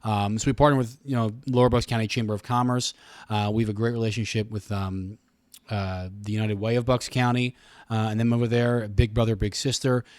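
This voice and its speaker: A man's voice: fairly low pitch, little pitch movement, brisk, a little mellow, clean tone, dry recording. An American man in his thirties explaining things in a chatty, wordy way as a guest in a casual interview. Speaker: radio station communications director, interview guest.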